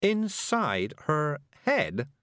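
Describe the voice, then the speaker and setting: A man's voice: on the high side, wildly swooping pitch, extremely slow, a bit bright, no room sound, clean studio sound, pitched above the performer's own voice, exaggerated voice. A man voicing a polite, puzzled, kindly woman with a hesitant, questioning manner in a storybook reading. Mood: aghast.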